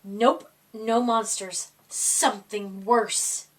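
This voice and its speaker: A woman reading a children's picture book aloud in an expressive, dramatic storytime style, drawing out words for young listeners. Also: medium pitch, extremely slow, distant mic, poor audio.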